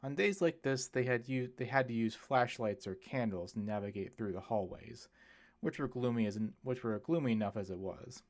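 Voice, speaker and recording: A man reads a novel aloud in a mid-pitched voice. He delivers scripted prose as an expressive storyteller, in the manner of an audiobook. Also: normal speed. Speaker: audiobook reader. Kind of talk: scripted fiction narration.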